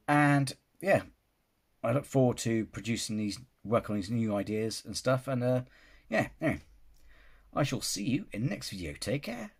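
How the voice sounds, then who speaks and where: Guy, husky voice, rambling film-reaction vlogger, casual. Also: medium pitch. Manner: rambling, restarts sentences, often says "you know". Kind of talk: casual opinion vlog.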